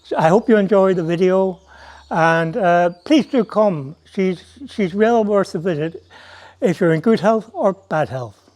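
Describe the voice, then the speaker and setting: Slow-spoken Irish man, slightly high voice. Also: animated, a little mellow. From United Kingdom. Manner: slow, measured delivery with hesitant fillers when speaking freely. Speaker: narrator. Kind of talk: scripted narration and casual spoken message.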